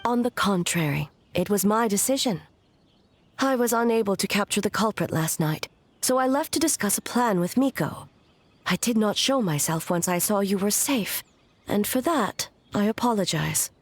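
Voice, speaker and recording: A woman voicing a dignified, solemn noblewoman in a video game, speaking in a formal, measured and composed way. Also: mid-range voice, lively intonation. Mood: solemn, apologetic. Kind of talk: video game character acting. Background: background music.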